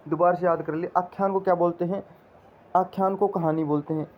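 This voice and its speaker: High-pitched male teacher, dull lo-fi audio. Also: fairly even intonation, brisk. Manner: repetitive, drilling explanations. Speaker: Hindi teacher for exam preparation. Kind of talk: instructional lecture with memory tricks.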